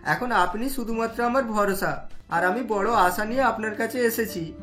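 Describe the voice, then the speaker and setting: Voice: medium pitch, moderate pace, a bit bright, soft background music, natural voice. A voice actor playing a desperate, poor scrap dealer. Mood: anxious. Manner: earnest, anxious, pleading.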